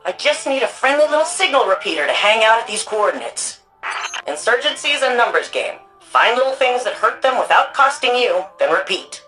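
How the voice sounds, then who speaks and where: A woman's voice: fairly high pitch, big pitch swings, a little fast, sharp tone, faint music behind, rough recording. An actress playing a wry, anxious woman archivist and resistance hacker in a sci-fi video game, speaking in a casual, conversational way. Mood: wry, upbeat. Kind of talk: video game mission dialogue.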